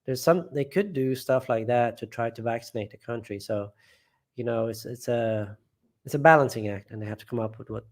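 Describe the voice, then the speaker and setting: A man's voice: medium pitch, fairly quick. A man hosting a casual live stream from home, reading viewer comments aloud and rambling, often trailing off and restarting.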